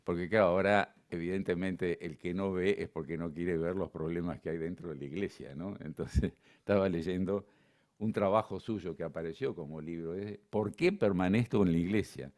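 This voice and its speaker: A man's voice: deep voice, normal speed, darkish tone. An older man with an Argentine accent giving a conference lecture in a digressive, conversational way, with frequent fillers. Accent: Argentine Spanish, not Buenos Aires.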